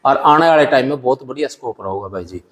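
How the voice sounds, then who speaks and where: A man's voice: mid-range voice, animated, fast, a bit bright, big-room sound. A man with a Haryanvi accent, a dairy farmer giving practical advice in a plain-spoken, colloquial way in a casual interview. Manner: plain-spoken, colloquial, often addresses listener as brother. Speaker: dairy farmer and buffalo breeder.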